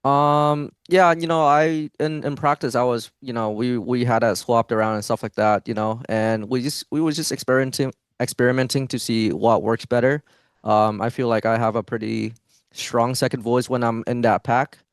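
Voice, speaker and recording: A man's voice: medium pitch, fairly even intonation, normal speed, clear, resonant tone, no room sound, studio quality. A young man, a pro esports player, answering interview questions in a hesitant way, with many fillers and restarts. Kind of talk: post-match interview answers.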